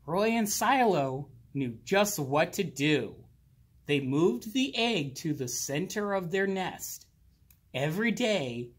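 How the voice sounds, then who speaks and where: Male voice, high for a man, husky, very lively intonation, unhurried picture-book read-aloud. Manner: slow, animated, with drawn-out words and pauses. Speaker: children's storybook reader. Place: indoors, library with bookshelves.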